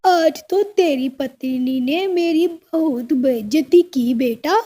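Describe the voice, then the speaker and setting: An old female crow mother-in-law in a cartoon story, put on by a woman. She is high-voiced and mellow, drawing out her indignant complaints slowly. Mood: complaining.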